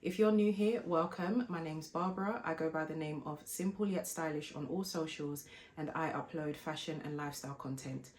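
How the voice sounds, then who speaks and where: Low-voiced woman, hoarse with flu. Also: moderate pace, a little mellow. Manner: chatty, polite, storytelling. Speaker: fashion and lifestyle vlogger. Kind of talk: casual unboxing vlog chat.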